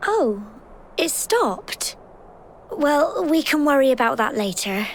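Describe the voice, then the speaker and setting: A woman playing a plucky, kindly young village girl in a video game, with an expressive, earnest and chatty delivery. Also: sits high, takes it slowly, slight background noise, exaggerated voice. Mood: relieved.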